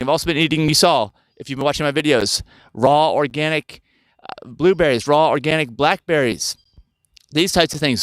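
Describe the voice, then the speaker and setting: A man's voice: on the high side, fairly bright tone, no echo, studio quality. A man in his fifties talking casually to viewers outdoors in the woods, rambling and often turning to them with questions. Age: 50s. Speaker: health channel host. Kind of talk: casual talk to camera.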